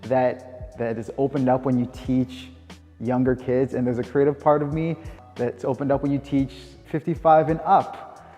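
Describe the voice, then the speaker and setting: A Canadian man in his thirties speaks in a mid-pitched, mellow voice. He talks informally and reflectively, often stopping to rephrase, like someone being interviewed. The recording carries long reverb, with music playing underneath. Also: flat delivery, moderate pace. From Winnipeg, Canada. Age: 30s. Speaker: dance teacher.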